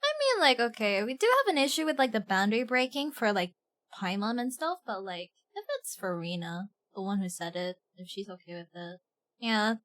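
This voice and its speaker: Giggly girl streamer gushing about games, bright voice, swings all over. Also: medium pitch, brisk. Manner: giggly, excitable, sweary, gushing. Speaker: livestream host. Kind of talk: casual livestream game reaction chat.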